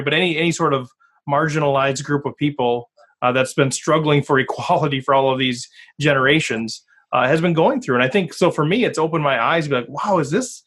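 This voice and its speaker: A man's voice: mid-range voice, a bit bright. A man hosting a podcast from Minnesota, speaking earnestly and candidly in a loose conversational way. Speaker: podcast host.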